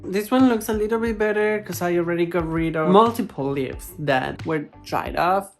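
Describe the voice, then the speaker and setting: A woman's voice: lowish voice, moderate pace, soft background music. A woman hosting a plant vlog, chatting casually to camera in a playfully dramatic, exclamatory way. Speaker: plant vlogger, host.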